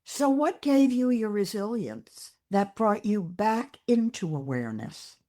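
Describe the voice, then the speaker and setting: An older woman speaks as a psychologist guest on a podcast at a slow, unhurried pace. She is earnest and reflective, and she gently makes her points as she talks. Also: medium pitch, sing-song. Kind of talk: interview conversation.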